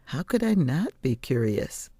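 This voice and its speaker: A woman's voice: very deep voice, sing-song, measured pace, dark tone, husky, dry recording. A woman narrating a scripted first-person novel as an audiobook reader.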